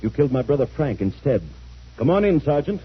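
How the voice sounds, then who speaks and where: An actor playing a grave, commanding man returned from supposed death, speaking deliberately in a 1940s radio drama. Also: fairly high pitch, very animated, breathy edge, full character voice. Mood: grim, explaining. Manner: slow, deliberate, stern. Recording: muffled old radio recording.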